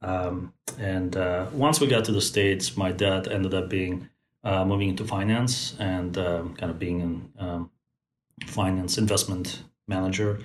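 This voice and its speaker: A man in his forties, born in Moscow and raised in Central Asia, speaks thoughtfully as a podcast guest, pausing often to find his words. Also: deep voice, steady tone, a little hiss or hum. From born in Moscow, raised in Tajikistan. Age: 40s. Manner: thoughtful, many fillers and restarts. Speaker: guest, company founder. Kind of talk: podcast interview. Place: Bay Area.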